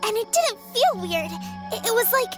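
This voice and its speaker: A woman's voice: extremely high pitch, big pitch swings, normal speed. A woman playing a plucky, competitive little girl in a video game, with a childlike voice and an earnest, determined manner. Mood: tearful wonder. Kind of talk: video game character acting. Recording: music playing behind the voice.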